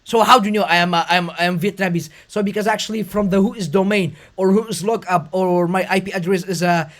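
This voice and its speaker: High-pitched host, lots of uh and restarts, explaining stuff. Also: male voice, fairly even intonation, a bit bright. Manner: fast, effortful talk with frequent fillers and restarts. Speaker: podcast host. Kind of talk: conversational interview.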